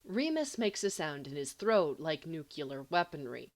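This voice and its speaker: A woman reading a story aloud as an amateur audiobook narrator, in an expressive, dramatic and wry manner. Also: low voice, measured pace. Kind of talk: expressive scripted fiction narration.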